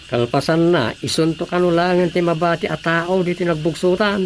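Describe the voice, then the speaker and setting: A man's voice: fairly high pitch, normal speed, a little hiss or hum, close to an everyday voice. A man playing a gossipy, grumbling villager in a radio comedy drama, with an Ilocano Filipino accent.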